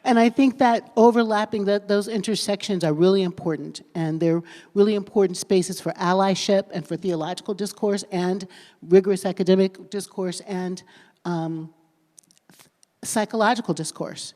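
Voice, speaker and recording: A woman's voice: medium pitch, fairly even intonation, normal speed, mellow. A woman pastor speaking on a panel, earnest, repeating phrases as she thinks aloud. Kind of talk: panel discussion. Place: lecture hall at a conference. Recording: large hall with long reverb.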